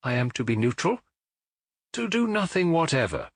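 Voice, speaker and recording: Male reader as Victorian gentleman sidekick, English accent, loyal, attentive, questioning, expressive intonation, dry sound. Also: on the high side, normal speed, dry recording, voice pushed up. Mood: obedient, attentive. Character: loyal, obliging Victorian gentleman, the detective's companion. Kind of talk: audiobook character acting.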